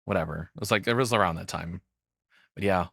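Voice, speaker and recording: A man's voice: low-pitched, a little fast, gravelly, a bit bright, no echo, clean studio sound. A man in his thirties giving casual, rambling reaction commentary as the host, swearing freely. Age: 30s.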